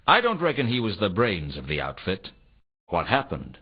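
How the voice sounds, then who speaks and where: An actor playing a world-weary, sardonic private detective in a noir video game, with a wry, deadpan, pressing delivery. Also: male voice, on the low side, moderate pace, breathy edge, exaggerated voice. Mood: businesslike. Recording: dull, muffled old console game audio.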